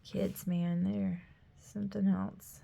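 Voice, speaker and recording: A gravelly-voiced woman in her thirties chats in a loose, rambling way, full of "like," and keeps dropping to a near whisper. She sounds sniffly, with a scratchy throat. A faint hiss sits under the recording. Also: mid-range voice, little pitch movement, slow, mellow. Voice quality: gravelly, drops often into a whisper. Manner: chatty, rambling, lots of "like". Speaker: planner vlogger, mother. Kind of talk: casual chat while decorating a planner. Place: home room.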